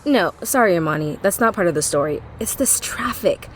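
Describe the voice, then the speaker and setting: A woman's voice: fairly low pitch, lively intonation, fairly quick, slight background noise, close to an everyday voice. A woman playing a brash, foul-mouthed, bossy CEO in a comic audio play, with a sassy, emphatic delivery. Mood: irritated.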